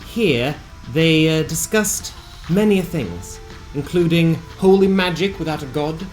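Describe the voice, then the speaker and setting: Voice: medium pitch, animated, unhurried. A narrator reading a scripted recap of a tabletop fantasy game in a theatrical storytelling manner with wry asides. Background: music.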